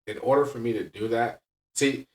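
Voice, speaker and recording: A man's voice: medium pitch, normal speed, a little mellow. A man talking candidly and casually as a podcast host and coach, emphatic and blunt, often checking in with "right?". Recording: echoey room, distant from mic.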